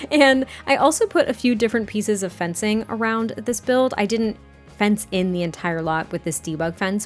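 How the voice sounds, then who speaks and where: A woman with a slightly raspy voice chatting casually in a voice-over about her building, with fillers and a rambling, friendly manner. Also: on the high side. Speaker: game builder narrating a speed build. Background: soft background music.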